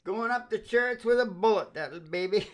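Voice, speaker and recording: A man's voice: sits high, brisk, a bit bright, slight background noise. A man hosting an old-time style radio show in a folksy, jokey, exclamatory way.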